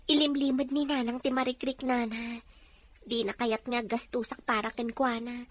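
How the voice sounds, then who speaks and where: A woman's voice: a little high, flat delivery, normal speed, no echo, close to an everyday voice. A woman acting an earnest, wistful young mother confiding in a friend, with a Filipino accent, in a melodrama.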